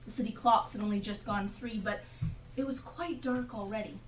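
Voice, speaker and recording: A woman narrating a Victorian Christmas tale on stage with deliberate, dramatic phrasing. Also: medium pitch, close to an everyday voice. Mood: dramatic storytelling. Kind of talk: theatrical scripted storytelling. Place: theatre stage, live performance. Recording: echoey distant live stage, noisy.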